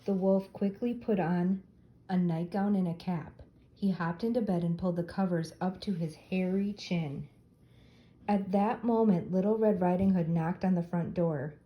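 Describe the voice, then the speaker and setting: A woman's voice: lowish voice, fairly even intonation, moderate pace, muffled recording. An older woman reading a children's story aloud as a storyteller for young listeners.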